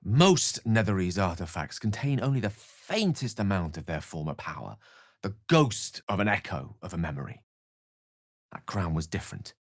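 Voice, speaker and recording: An actor playing an eloquent, wry wizard in a video game, speaking in articulate, theatrical phrases with an earnest streak. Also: male voice, low-pitched, lively intonation, moderate pace, no echo, studio quality. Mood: grave, scholarly. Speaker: video game companion character. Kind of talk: scripted video game voice acting.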